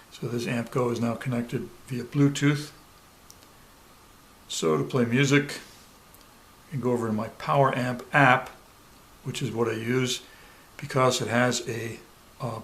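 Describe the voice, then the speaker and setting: A man presenting a hands-on product tutorial step by step, pausing mid-sentence as he demonstrates. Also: mid-range voice, steady tone, fairly bright tone. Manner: halting, pauses mid-sentence while demonstrating. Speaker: product reviewer giving a demonstration. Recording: clear background noise, room ambience.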